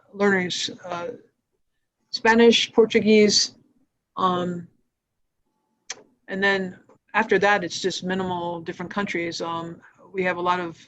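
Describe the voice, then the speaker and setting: A woman answering questions as a nonprofit executive, explaining programs in a halting, matter-of-fact, informal way. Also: lowish voice, fairly bright tone. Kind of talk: informal spoken presentation on a video call. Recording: dull, lo-fi livestream audio.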